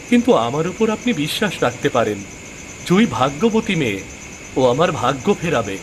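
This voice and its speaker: A man playing an eager, superstitious and lazy young farmer in a cartoon moral tale, with an excitable, insistent, wheedling way of talking. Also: fairly high pitch, a little hiss or hum. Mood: earnest pleading.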